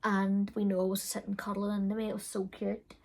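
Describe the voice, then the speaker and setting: A young woman recounts her own story in a loose, chatty vlog manner, peppering it with fillers. Her voice is loud, with a gravelly, creaky edge running under mid-range pitch. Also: completely flat delivery, a little fast. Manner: chatty, frank, with frequent fillers and laughs. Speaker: beauty vlogger. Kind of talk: casual storytelling.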